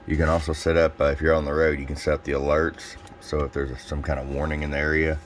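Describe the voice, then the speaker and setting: Very deep-voiced man demonstrating gear. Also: little pitch movement, fast talker, slight background noise. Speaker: radio seller presenting a product demo. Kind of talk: casual product review and demonstration.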